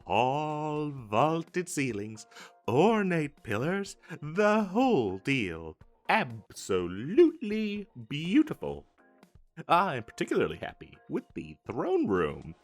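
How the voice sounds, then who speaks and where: A man's voice: a little high, wildly swooping pitch, slow, soft background music, heavily acted. A man voicing an eager, boastful wizard salesman in a comedic fantasy audio roleplay, with theatrical emphasis and a stammering, hard-selling manner. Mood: proud, showy. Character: eager, boastful male wizard salesman hawking magical wares. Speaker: street vendor character in an audio roleplay.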